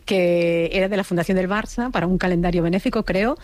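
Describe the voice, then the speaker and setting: A woman's voice: lowish voice, brisk, a little mellow, dry recording. A woman with a Spain accent hosting a radio show in a conversational style. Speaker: radio host.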